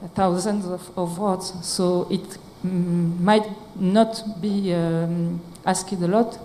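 A woman with a mellow, warm voice speaks in halting, hesitant English with many pauses. She is in the audience of a conference session, with a faint hum of room noise. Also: fairly low pitch, steady tone. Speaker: audience member.

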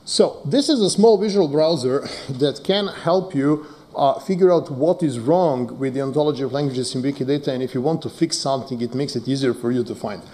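A man whose first language is Serbo-Croatian, giving a conference talk as a data scientist, halting with many fillers and joking asides. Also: medium pitch, dark tone. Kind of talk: conference talk with live demo.

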